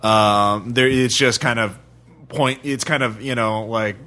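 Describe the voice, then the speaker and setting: A man's voice: medium pitch, fairly even intonation, unhurried, pushed and bright. A man hosting a podcast discussion, speaking informally and often correcting himself mid-thought. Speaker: podcast host. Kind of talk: casual discussion.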